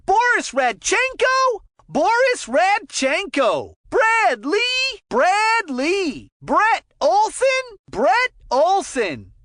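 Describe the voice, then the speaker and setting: An excitable little boy calling a cartoon hockey game. The voice is extremely high and bright, and very slow, stretching out each exclaimed call like a proud young announcer. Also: no echo, clean studio sound, full character voice. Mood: excited announcing. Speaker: sports game commentator. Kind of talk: video game announcer calling out player names.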